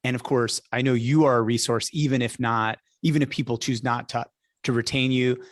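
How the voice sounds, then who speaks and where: Male podcast host, mid-pitched and conversational, a practised speaker, recorded dry with little room sound. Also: fairly even intonation, a little fast, no room sound.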